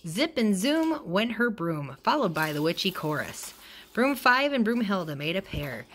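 A woman reading a children's picture book aloud in an expressive way, acting out the dialogue. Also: mid-range voice, normal speed, a little hiss or hum. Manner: expressive, acts out character dialogue. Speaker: storybook reader.

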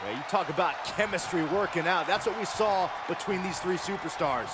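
A man playing an animated, opinionated color commentator in a wrestling video game, emphatic and reactive. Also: sits high, fairly quick, natural voice. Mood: eager. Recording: loud noisy arena background. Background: loud arena noise.

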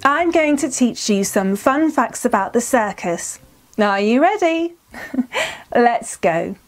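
A woman's voice: on the high side, big pitch swings, slow pace, faint music behind. A woman in her thirties or forties presenting scripted storytelling for children, enunciating carefully and encouraging listeners.

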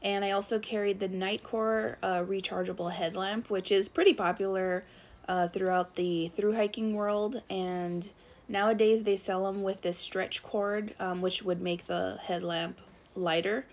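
A woman walks through the gear she carried on a long hike, her voice sitting at an ordinary middle pitch. She talks in a relaxed, unscripted way, pausing on little fillers as she recommends things. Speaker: hiker reviewing her gear. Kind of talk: casual gear review narration.

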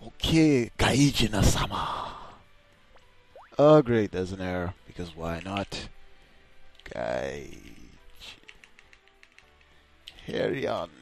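A man's voice: low voice, expressive, slow, creaky, faint music behind. Crude, mocking male game streamer. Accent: mixed British, Caribbean and American. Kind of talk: casual game commentary, reading the game text aloud.

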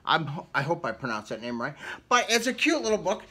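A man's voice: fairly high pitch, very animated, fairly quick, pushed and bright, slightly rough. A man reading a picture book aloud to children, theatrical, with casual questioning asides. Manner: theatrical, asks the young listeners questions. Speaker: children's story reader. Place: indoor room with book cut-outs.

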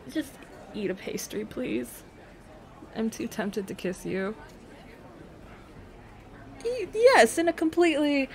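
A woman's voice: medium pitch, a little hiss or hum. A woman playing an affectionate, teasing young girlfriend on a secret cafe date in an intimate roleplay audio, speaking casually with hesitant restarts.

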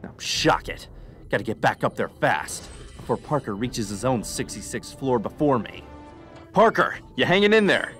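A man's voice: mid-range voice, animated, normal speed, a bit bright, close to an everyday voice. A man voicing a young, sarcastic, impatient futuristic superhero in a video game fandub. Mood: tense, exasperated. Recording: game music behind the voice.

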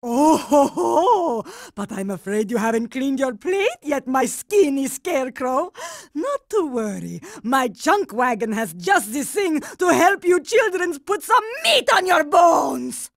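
A creaky old granny villain, sickly sweet n menacing, talks real slow, cartoon game. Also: female voice, high voice, very animated, no echo, studio quality, heavily acted. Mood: sickly-sweet menace. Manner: sickly-sweet, gloating, drawn-out. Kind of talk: cartoon video game voice acting.

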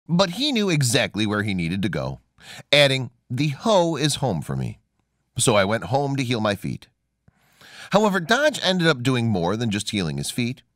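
Lively male narrator, close and dry. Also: mid-range voice, dry recording. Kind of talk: scripted documentary-style narration.

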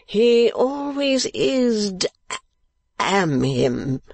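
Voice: medium pitch, sing-song, very slow, husky, no echo, pitched above the performer's own voice, exaggerated voice. A narrator voicing a foolish, eager young English lord in a storybook, with an affected, wheedling drawl. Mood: conspiratorial, eager. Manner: drawling, affected, hushed and pleading.

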